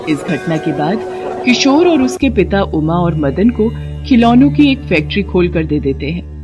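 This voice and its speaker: A woman's voice: on the low side, sing-song, moderate pace, dull audio, music in the background. A woman narrating a scripted devotional story.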